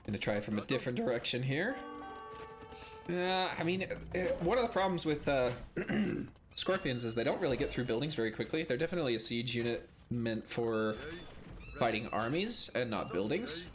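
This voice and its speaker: A hoarse man with a cold commentates a strategy match in a relaxed, casual way. His steady analysis is broken by sudden excited exclamations, and he clears his throat every so often. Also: mid-range voice, moderate pace, over music. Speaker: strategy game caster. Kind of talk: casual play-by-play game commentary.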